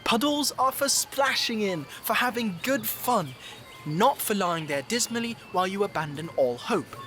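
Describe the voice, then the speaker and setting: A woman's voice: medium pitch, animated, normal speed, fairly bright tone, soft background music, lightly acted. A woman playing an earnest, proper young boy marten in an audio drama. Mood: firm, scolding.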